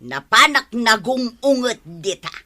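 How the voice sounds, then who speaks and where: A loud, bossy village woman with an Ilocano accent. Her high, piercing voice swoops about as she nags in stretched-out exclamations, in radio comedy style over music. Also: sing-song, exaggerated voice. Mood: defensive, complaining. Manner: scolding, exclaiming, drawn-out emphatic delivery. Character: bossy, loud, nagging village woman who scolds tricycle drivers.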